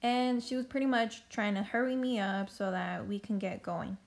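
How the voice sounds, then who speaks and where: A woman's voice: mid-range voice, steady tone, moderate pace, creaky. A woman who is a mother, narrating her cleaning video in a casual, friendly voice-over. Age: adult, a mother. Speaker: cleaning vlogger.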